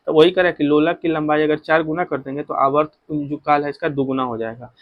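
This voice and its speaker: Male exam coach, Bihari accent, slightly high voice, dry close sound, instructive and repetitive delivery. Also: steady tone, a little fast, dry recording, phone-quality sound. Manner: repetitive, instructive, code-mixing English technical terms. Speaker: exam coaching physics teacher. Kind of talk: explanatory exam-prep lecture.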